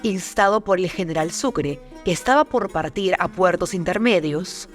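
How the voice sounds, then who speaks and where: A woman giving scripted documentary narration in a measured, formal, dramatic manner. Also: fairly low pitch. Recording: music behind the narration.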